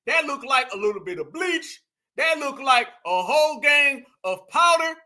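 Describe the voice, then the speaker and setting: High, sharp-voiced mocking male host. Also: expressive, moderate pace. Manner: brash, slangy, mocking, bursts into shouting. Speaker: commentary show host. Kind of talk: mocking reaction commentary.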